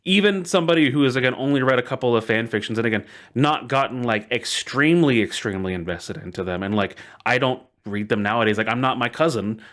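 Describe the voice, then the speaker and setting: Male podcast host, mid-pitched, chatty and enthusiastic, leaning hard on repeated words for emphasis. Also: quick pace, hardly any pauses, fairly bright tone. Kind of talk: casual storytelling podcast chat.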